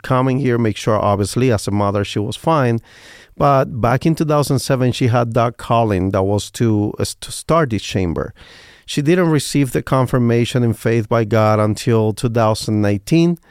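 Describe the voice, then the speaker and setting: Cuban accent guy being interviewed, earnest and thoughtful, mid pitch, dry sound. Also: darkish tone, clean tone, no echo. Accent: Cuban Spanish. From Cuba. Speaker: guest. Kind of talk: interview talk.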